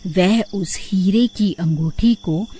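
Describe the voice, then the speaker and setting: A woman's voice: mid-range voice, slow, mellow, slight background noise. A woman storyteller reading scripted narration for a horror cartoon. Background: background music.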